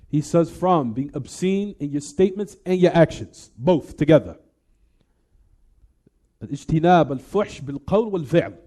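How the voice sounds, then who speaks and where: Mellow, higher-voiced man teaching religion. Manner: didactic, repeats phrases, poses questions to listeners. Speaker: religious teacher giving a lecture. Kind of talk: religious lecture, translating and explaining recited Arabic texts.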